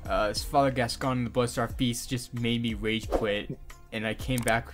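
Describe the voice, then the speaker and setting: Animated young man, music playing behind him. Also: mid-range voice, normal speed. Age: young adult. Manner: animated, laughing, informal with swearing. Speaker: podcast co-host and hobby voice actor. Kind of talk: casual podcast banter.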